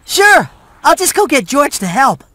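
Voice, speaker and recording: A polite little boy mouse hero from a video game, very high and bright. The speech is earnest, neat and eager, with a lively swing, recorded dry. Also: a little slow, no room sound, heavily acted. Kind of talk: scripted game cutscene dialogue.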